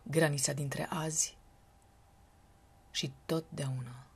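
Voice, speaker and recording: A woman with a breathy, husky voice giving an expressive recitation of poetry. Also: sits low. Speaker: poetry reader.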